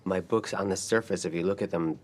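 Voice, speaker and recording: A man speaking as a novelist in a measured, reflective way. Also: low voice. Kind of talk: reflective interview and reading from own prose.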